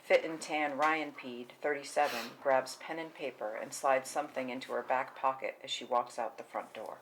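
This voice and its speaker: A woman with an extremely low-pitched voice narrates screenplay directions at a table read. Her delivery is scripted narration. There is faint noise in the recording. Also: fairly even intonation, moderate pace, poor audio. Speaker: narrator reading stage directions.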